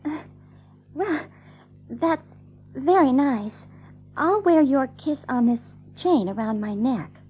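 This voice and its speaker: A woman's voice: high-pitched, expressive, takes it slowly, long pauses, a little mellow, a little hiss or hum. A woman playing a prim and proper, motherly young girl in an audio drama of a storybook. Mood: tender.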